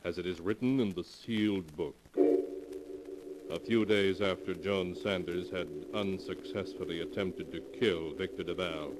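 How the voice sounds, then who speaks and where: Slow, dramatic old-radio announcer. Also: male voice, sits low, very noisy. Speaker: announcer and narrator. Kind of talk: 1940s radio show narration.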